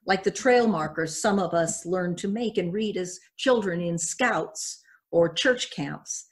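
An older woman pastor giving a measured, reflective sermon, reading from notes at a church pulpit. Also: fairly low pitch.

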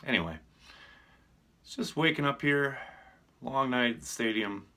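A man's voice: mid-range voice, a little slow, pushed and bright. A middle-aged American man chatting casually about sports to camera as a reporter hosting a segment. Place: small furnished room at home, indoors.

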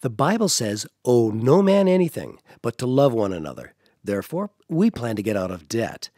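A man's voice: mid-range voice, sing-song, moderate pace, dark tone, no room sound, clean studio sound. An American actor playing a cheerful, devout middle-aged father in a family radio drama. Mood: cheerful, encouraging.

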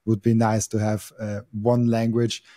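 A man's voice: fairly low pitch, completely flat delivery, normal speed, dark tone, dry recording, clean studio sound. A young man, hosting a podcast interview and hesitating often, with many fillers and restarts. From Austria. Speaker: podcast host. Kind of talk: casual interview. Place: home studio. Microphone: boom mic on arm.

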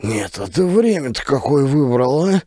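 A man's voice: medium pitch, sing-song, moderate pace, warm tone, dry recording, studio quality, pitched above the performer's own voice. Man playing a blustering, indignant old village elder. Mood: exasperated.

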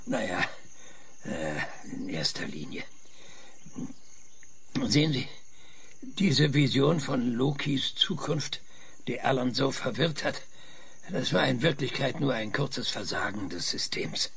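An actor playing an older, insistent professor with a raspy voice in a German radio drama, explaining things emphatically and dramatically. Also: male voice, mid-range voice, animated, slow pace, long pauses, a bit bright, exaggerated voice. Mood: musing, insistent. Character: frail, excitable old male professor, insistent and visionary. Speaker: professor being interviewed.

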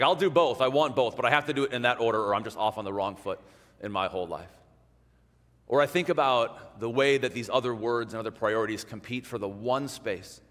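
Man preaching in a reverberant sanctuary. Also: mid-range voice. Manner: conversational, animated, drops to hushed emphasis. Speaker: pastor. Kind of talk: sermon. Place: large church sanctuary in front of an altar. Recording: long church reverb.

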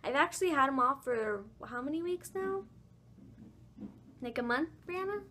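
A young woman with a raspy voice, chatting casually in a home vlog, apologetic and full of ums and likes. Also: high-pitched, lively intonation, normal speed, pushed and bright. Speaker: vlogger. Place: bedroom at home. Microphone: phone held at arm's length.

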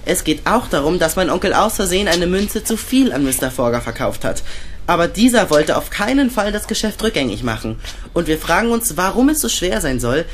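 A man's voice: high-pitched, slight background noise. A young-sounding actor playing a curious, polite boy detective in a radio play.

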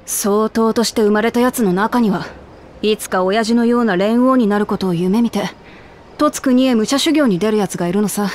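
A woman's voice: mid-range voice, normal speed, a little mellow, a little hiss or hum, close to an everyday voice. A woman voicing a brash, hearty young lioness warrior in a Japanese video game, speaking bluntly like a tomboy. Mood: matter-of-fact explaining. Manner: blunt, rough tomboyish speech.

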